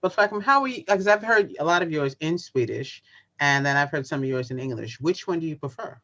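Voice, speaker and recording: A man hosting a relaxed interview over a video call. He nudges his guests along with frequent small "mm-hmm" sounds. The call audio is muffled and lo-fi. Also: on the high side, a little fast. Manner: encouraging, frequent "mm-hmm" backchannels. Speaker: host.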